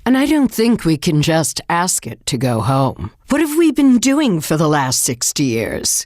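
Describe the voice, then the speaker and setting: A tough, resolute woman in charge of a nation under threat in a video game. She is blunt and commanding, with a middle-pitched voice. The sound is dry, with hardly any room to it. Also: no echo. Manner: blunt, commanding, hard-nosed. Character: tough, resolute female government leader fighting a giant monster. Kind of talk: dramatic video game voice acting.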